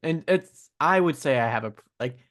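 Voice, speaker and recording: A man's voice: on the high side, lively intonation, a bit bright. Chicago-accented man chatting on a podcast. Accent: Midwest American, Chicago. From USA. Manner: halting, trails off and restarts. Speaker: podcast co-host. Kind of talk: casual chat.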